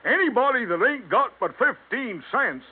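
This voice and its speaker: A stubborn old ferryman drawls his flat refusals in a high, lively voice, as a storyteller puts him on. The sound is tinny and narrow, like an old radio broadcast. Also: moderate pace, slightly rough, full character voice.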